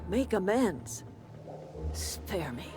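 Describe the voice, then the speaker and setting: A woman's voice: mid-range voice, sing-song, drawn-out words, over music. An actress playing a kindly, plain-spoken older woman who leads a garden commune in a video game, with a folksy, motherly manner. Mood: scornful. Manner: folksy, motherly, calls people dear. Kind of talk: video game character acting.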